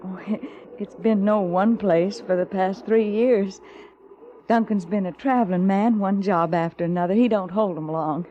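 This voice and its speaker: An actress playing a worried, loyal frontier wife with an American accent in an old western radio drama, folksy, earnest and plaintive. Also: female voice, mid-range voice, unhurried, warm tone, highs cut off, natural voice. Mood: weary, confiding. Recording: noisy old radio broadcast recording.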